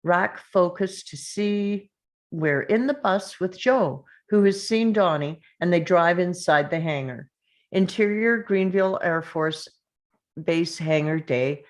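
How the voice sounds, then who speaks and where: Slow-paced woman narrating a screenplay. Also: fairly low pitch. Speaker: stage-direction narrator. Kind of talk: scripted screenplay narration at a table read.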